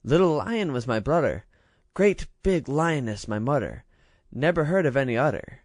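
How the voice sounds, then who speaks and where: A tiny ragged girl chirps in lisping baby talk, squeaky high and full of bounce, as a man reads her out. She is hungry, merry and cheeky, a storybook urchin. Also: big pitch swings, unhurried, dry recording, studio quality. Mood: chirpy childish.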